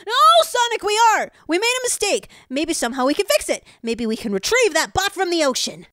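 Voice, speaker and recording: A woman's voice: very high-pitched, big pitch swings, a little fast, a bit bright, no echo, studio quality, exaggerated voice. A woman voicing a young male fox inventor who speaks in a sweet, earnest, pleading way.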